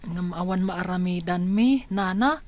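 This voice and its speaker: A woman's voice: lowish voice, unhurried, darkish tone, lightly acted. A woman playing a troubled, helpless young relative in an Ilocano radio drama. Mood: troubled, resigned.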